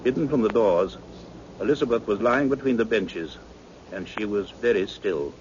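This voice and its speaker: A man's voice: lowish voice, expressive, a little mellow, natural voice. Actor as a grave, fatherly doctor, muffled radio. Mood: grave, suspenseful. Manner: measured, intense, literary storytelling. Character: grave, fatherly doctor of science narrating events. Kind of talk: dramatic 1960s radio narration and acting. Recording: dull, noisy old radio recording.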